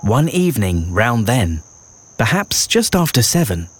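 A man narrating a rhyming children's bedtime story in a measured storytelling delivery with deliberate pauses. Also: medium pitch, very animated, breathy edge, no echo. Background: festive music and sound effects.

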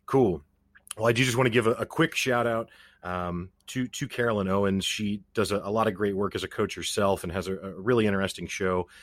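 A man with a fairly low voice hosts an interview podcast. He talks in a conversational presenter style, and the sound is dry, with very little room in it. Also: a little fast, dry recording. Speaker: podcast host.

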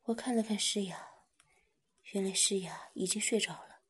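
A woman reading a first-person story aloud in a soft whisper. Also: mid-range voice, normal speed, breathy edge.

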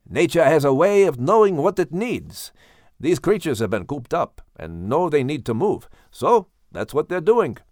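A man's voice: a little high, big pitch swings, normal speed, darkish tone, dry recording, studio quality, voice pushed up. Man playing kindly, lilting storybook patriarch. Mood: genial. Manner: genial, reassuring. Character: kindly wise father Noah, calm and indulgent.

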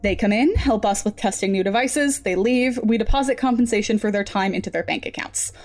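A cool woman in charge of a lab played by an actress for a drama podcast. She speaks formally, with clinical composure, at an ordinary female pitch. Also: fairly quick, darkish tone, soft background music, lightly acted. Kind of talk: audio drama acting.

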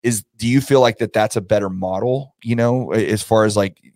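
A man's voice: lowish voice, a little fast, darkish tone, no room sound, clean studio sound. A middle-aged American man hosting a podcast, talking casually and rambling as he thinks aloud. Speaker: podcast host. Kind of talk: casual conversational interviewing.

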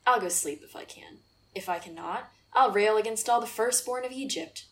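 A woman's voice: mid-range voice, moderate pace, natural voice. A woman playing a melancholy, sardonic male courtier in a Shakespeare radio play, with a wry, insistent manner. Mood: wry, disdainful. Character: melancholy, sardonic courtier, a brooding cynical man.

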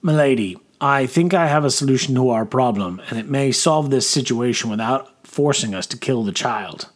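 A male narrator acts out a rough-hewn army major from an audiobook. He speaks in formal military phrases with calm command, sounding resolute and sure of his authority. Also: mid-range voice, normal speed, close to an everyday voice. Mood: brisk, decisive. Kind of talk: audiobook character dialogue.

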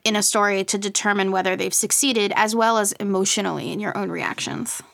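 A woman's voice: medium pitch, fairly even intonation, a little fast, creaky, fairly bright tone, no echo, studio quality. A woman hosting a podcast, asking questions in a casual, informal and agreeable conversational style. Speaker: podcast host, interviewer.

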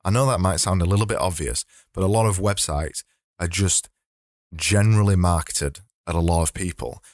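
A man hosting a casual solo podcast, his voice slightly hoarse and fading, rambling and correcting himself as he talks. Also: sits low, normal speed, dry recording, studio quality. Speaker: podcast host.

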